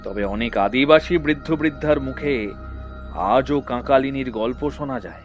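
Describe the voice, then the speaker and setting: An actor playing a grave, knowledgeable man who recounts dark legends in a measured, solemn way in an audio drama. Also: mid-range voice, soft background music, natural voice. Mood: grave, ominous. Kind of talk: dramatic Bengali audio story acting.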